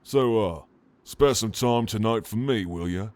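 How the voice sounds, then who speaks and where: A man voicing a gruff, friendly orc warrior with an informal, blunt, teasing manner in an ASMR roleplay. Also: on the low side, a little slow, no room sound, lightly acted.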